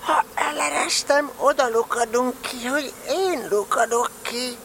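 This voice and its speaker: A woman's voice: high-pitched, crowd noise. A woman playing a small, earnest, scheming toy bear in an audio drama, with naive, solemn pronouncements. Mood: earnest, decided. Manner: solemn, self-important pronouncements. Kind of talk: audio drama storybook acting.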